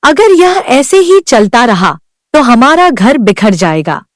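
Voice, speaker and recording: A woman's voice: on the high side, very animated, slow pace, no room sound, clean studio sound. A woman voicing Sunita, a worn-down young village wife who pleads earnestly for help in a cartoon. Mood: pleading. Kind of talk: Hindi cartoon voice acting.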